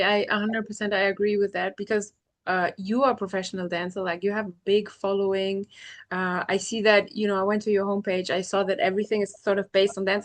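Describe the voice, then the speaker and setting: Woman hosting a podcast chat. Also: medium pitch. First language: Portuguese. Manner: thoughtful, rambling, peppered with "you know" and soft laughs. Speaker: podcast host. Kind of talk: casual conversational interview.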